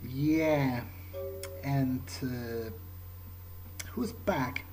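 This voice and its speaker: Slow-talking man, hesitant and wry. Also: mid-range voice, darkish tone, faint music behind, poor audio. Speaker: let's play gamer speaking in character. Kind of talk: improvised in-character game roleplay.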